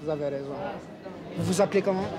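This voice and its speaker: A man's voice: on the high side, quick pace, slight background noise. A man doing a casual street interview, asking brief polite questions and repeating the answers, outdoors on a busy street. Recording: loud street crowd behind.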